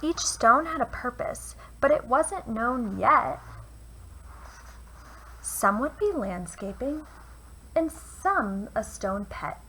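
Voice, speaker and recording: Woman, children's read-aloud, bright forceful tone, expressive phrasing, a little background noise. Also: a little high, slow, pauses often. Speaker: teacher reading a picture book aloud. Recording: noisy home recording.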